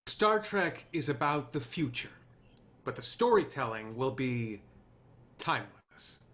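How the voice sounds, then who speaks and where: A man's voice: mid-range voice, sing-song, unhurried, fairly bright tone. A man playing an idealistic, charming, swaggering TV writer in a screenplay table read. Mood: confident pitching.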